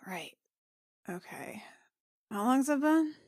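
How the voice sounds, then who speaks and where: A woman's voice: high voice, sing-song, slow pace, darkish tone, no room sound, studio quality. A woman chatting and improvising as a player in a tabletop game. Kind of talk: casual chat and improvised role-play.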